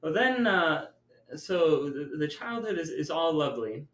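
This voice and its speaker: A man's voice: fairly high pitch, expressive, measured pace, fairly bright tone. A man chatting casually and reminiscing about family, with a joking, self-deprecating manner, as a podcast host. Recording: echoey, roomy sound.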